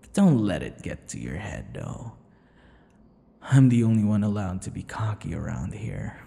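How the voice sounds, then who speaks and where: A man playing a possessive, cocky, menacing vampire captor in an ASMR roleplay, taunting in a breathy voice that often drops into whispers. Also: on the low side, expressive, moderate pace, mellow, long reverb tail. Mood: cocky, warning. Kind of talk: ASMR boyfriend audio roleplay.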